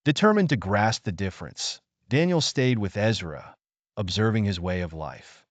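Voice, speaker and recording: A man narrating a scripted bedtime story for children in a measured, expressive manner. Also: lowish voice, no echo.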